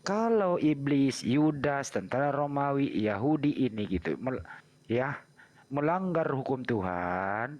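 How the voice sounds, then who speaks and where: A man from South Sulawesi in a religious debate, breaking his questions down slowly and rephrasing them step by step. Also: mid-range voice, very animated, darkish tone, lo-fi sound. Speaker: debate participant.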